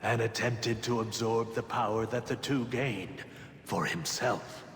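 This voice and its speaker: A man playing a grave, courteous ally in a game cutscene, speaking in a measured, earnest, formal way. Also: medium pitch, a little hiss or hum, close to an everyday voice. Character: grave, courteous male ally explaining dark events. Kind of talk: scripted game cutscene dialogue. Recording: long reverb, loud music behind.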